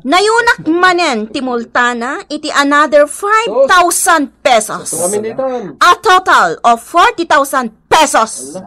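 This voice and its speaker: A stern village schoolteacher with an Ilocano accent, very high and sharp. She is unforgiving and insistent, with swooping, animated radio-drama delivery. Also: takes it slowly, full character voice. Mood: indignant, official.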